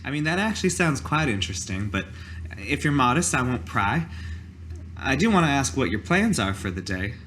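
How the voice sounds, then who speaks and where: Tabletop game townsperson: a woman acting a helpful, folksy, courteous local, very animated intonation, some noise behind. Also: very deep voice, normal speed, exaggerated voice. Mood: polite, curious. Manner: courteous, mildly old-fashioned turns of phrase. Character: Elsie, polite, helpful, folksy small-town local.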